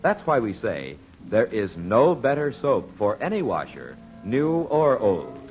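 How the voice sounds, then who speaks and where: A muffled vintage broadcast with faint music behind a male announcer. He delivers scripted sponsor copy in a polished, deliberate 1940s style, his pitch rising and falling in an animated way. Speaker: radio announcer.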